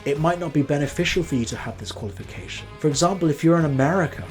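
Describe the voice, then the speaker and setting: A man's voice: on the high side, a little mellow. A young man originally from Nepal gives earnest career advice in a vlog, posing questions straight to the viewer. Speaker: accountant giving career advice. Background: faint background music.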